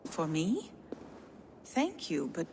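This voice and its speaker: A woman's voice: sits low, huge pitch swings, slow pace, full character voice. A woman playing a dutiful young giantess, a lord's daughter, in a video game, earnest and hesitant. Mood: bewildered, tender. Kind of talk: dramatic video game acting. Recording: game cutscene with clear background noise.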